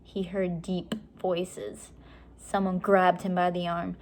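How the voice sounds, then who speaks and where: A woman reading a children's chapter book aloud with measured, expressive storytelling. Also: fairly low pitch. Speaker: story reader.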